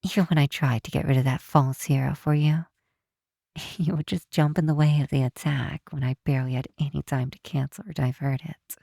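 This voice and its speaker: Woman acting as possessive female supervillain, ASMR roleplay, deep mellow voice, theatrical, coaxing, protective, close dry mic. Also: fairly even intonation, gravelly, no room sound. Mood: tender, pained. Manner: measured, theatrical, softly menacing yet reassuring. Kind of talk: intimate ASMR roleplay addressed to the listener. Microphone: close microphone in a dry space.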